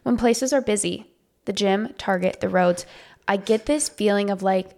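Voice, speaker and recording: Woman, podcast co-host, casual chat, slightly nasal, gravelly fry. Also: medium pitch, normal speed, dark tone. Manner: chatty, self-deprecating, laughs at herself. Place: home podcast setup.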